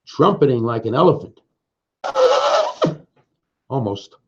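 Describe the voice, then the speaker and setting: A man's voice: medium pitch, lively intonation, takes it slowly, heavy background noise. A man reading a picture book aloud to young children, with sing-song refrains, simple explanations and questions to the listeners. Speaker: children's story reader. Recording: dull, muffled lo-fi sound.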